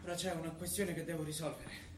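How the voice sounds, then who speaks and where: A man's voice: on the high side, flat delivery, fast, far from the mic, lightly acted. An actor playing a nervous, evasive man in a stage play. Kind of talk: stage acting. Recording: very noisy, echoey theatre recording.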